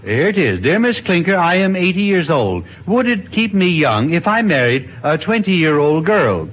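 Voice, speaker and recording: A man's voice: fairly high pitch, very animated, normal speed, fairly bright tone. A man playing straight man and host in 1950s radio comedy patter. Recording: muffled 1950s radio recording, hiss, audience.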